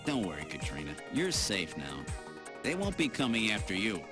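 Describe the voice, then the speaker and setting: A wisecracking detective from a video game, with lively, swinging pitch. He is casual and flirtatious, wry even under pressure, with music playing behind him. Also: normal speed. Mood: reassuring. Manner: wry, casual, hesitating when flustered. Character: wisecracking, flirtatious male detective hunting killer androids.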